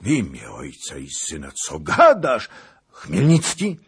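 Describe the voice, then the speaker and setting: A man's voice: low voice, huge pitch swings, slow, gravelly, slightly rough, voice pushed up, heavily acted. A man voicing a blustering older nobleman in a historical storybook, exclaiming and grumbling in colourful language. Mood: shocked, demanding.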